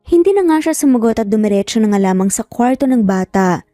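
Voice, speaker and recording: A woman's voice: on the high side, expressive, fairly quick, warm tone, dry recording, clean studio sound. A woman giving a scripted, dramatic narration as a storyteller. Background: music.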